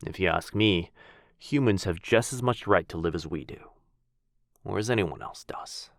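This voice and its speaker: A man playing a gentle, earnest vampire aristocrat in an intimate ASMR roleplay, speaking softly and formally with tender, hushed dips. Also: low voice, normal speed, creaky, no echo, clean studio sound.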